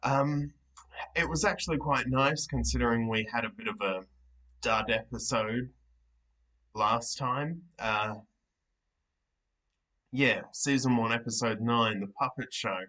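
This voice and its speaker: A young man hosts a casual reaction review at an unhurried pace. His voice is bright and he pauses to think aloud as he gives his opinions. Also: medium pitch, low-quality recording. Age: young adult. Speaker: reaction video host. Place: small living room, indoors.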